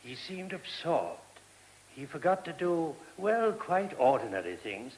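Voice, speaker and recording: An actor playing a polite, thoughtful male monk in a radio play, explaining things in a measured, slightly hesitant way. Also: on the high side, sing-song, rough recording. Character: courteous, thoughtful male monk of an abbey, a priest. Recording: hissy old radio recording.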